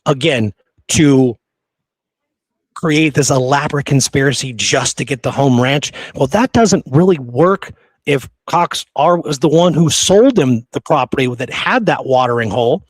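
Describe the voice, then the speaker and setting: A man's voice: mid-range voice, animated, unhurried, dry recording. A man hosting a casual history discussion, speaking in an emphatic, argumentative and exasperated way. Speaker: podcast host.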